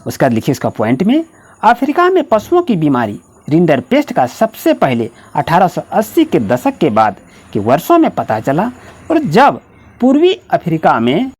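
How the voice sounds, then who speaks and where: Woman, lowish pitch, extremely animated sing-song intonation, directive schoolteacher dictating answers. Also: normal speed, breathy edge. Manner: directive, repetitive step-by-step explaining. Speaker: online school history teacher. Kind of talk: instructional lesson, dictating answers to students.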